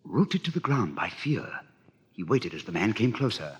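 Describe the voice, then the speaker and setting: A man's voice: fairly low pitch, sing-song, moderate pace, slightly rough. A man narrating an adventure story in a dramatic, suspenseful, theatrical style. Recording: old record with clicks, light noise.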